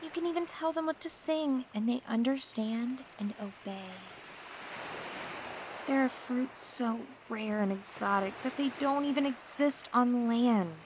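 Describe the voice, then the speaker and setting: A woman playing an obsessive, possessive mermaid who lures a pirate down to her undersea palace, speaking in a slow, coaxing, intimate ASMR roleplay. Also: on the high side, background noise, lightly acted. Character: possessive, obsessive female mermaid queen, young adult.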